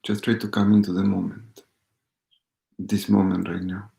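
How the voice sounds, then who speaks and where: A man leading a live guided meditation as a teacher, speaking with pauses and gentle repetition. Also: sits low, flat delivery, gravelly. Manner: slow, pausing, gentle repetition. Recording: roomy echo.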